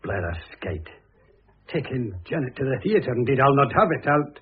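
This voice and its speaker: An actor in an old-time radio drama playing an irascible, scheming, jealous husband who blusters indignantly and slyly. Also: male voice, mid-range voice, very animated, normal speed, husky. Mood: scheming, grumbling. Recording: muffled, narrow-band old radio recording.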